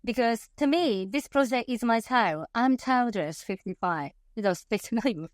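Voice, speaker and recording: A woman's voice: a little high, expressive, normal speed, dry recording, clean studio sound. A woman in her fifties from Japan with a Japanese accent, a passionate interview guest who often laughs and corrects herself mid-sentence. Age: 50s. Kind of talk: conversational interview.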